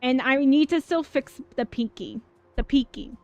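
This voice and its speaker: Excitable high-voiced woman streamer. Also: normal speed, no echo. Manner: excitable, swears freely, chats with viewers. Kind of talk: casual livestream chat over gameplay. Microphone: dynamic mic. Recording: dull mic with faint game music.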